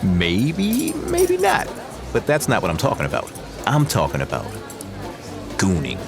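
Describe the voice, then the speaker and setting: A cocky young slacker pal bantering in an audio drama. His pitch leaps all over as he teases and schemes flippantly. Music is clearly audible underneath. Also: wildly swooping pitch, unhurried, full character voice. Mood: cheeky. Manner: teasing, flippant, exaggerated. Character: cocky, teasing young slacker best friend with shady schemes.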